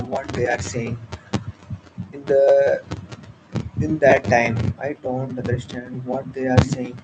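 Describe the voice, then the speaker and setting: A man from India with an Indian accent, a Hindi speaker talking in English with many pauses, fillers and restarts. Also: mid-range voice, heavy background noise. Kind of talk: casual spoken monologue.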